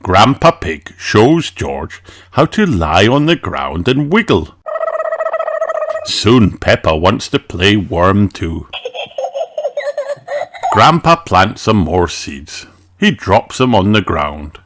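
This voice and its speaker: A man reading a children's storybook aloud with expressive, deliberate phrasing, music playing behind him. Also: on the low side, dry recording. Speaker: audiobook reader. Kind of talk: scripted children's storybook narration.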